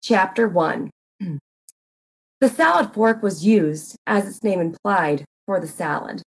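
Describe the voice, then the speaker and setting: A woman narrating a comic murder mystery in a deliberate, deadpan dramatic reading. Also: medium pitch, slight background noise, low-quality recording.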